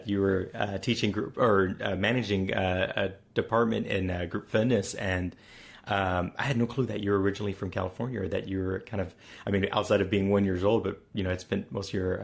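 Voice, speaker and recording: A man's voice: fairly low pitch, vocal fry, husky. A man hosting a podcast, reading a scripted intro and then asking hesitant questions full of uhs. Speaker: podcast host.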